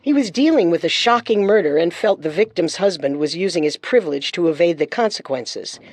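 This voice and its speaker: Low female narrator, dry sound. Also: expressive, fairly quick, no room sound. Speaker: host and narrator. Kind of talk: scripted true-crime narration.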